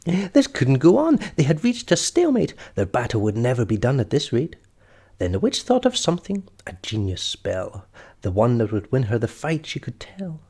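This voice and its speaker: A man's voice: mid-range voice, wildly swooping pitch, moderate pace, darkish tone, breathy edge. A man reading a children's story aloud expressively, as storyteller and host.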